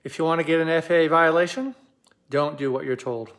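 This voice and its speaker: A man giving explanatory commentary as a host, measured and pausing for emphasis. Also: a little high, fairly even intonation, a bit bright.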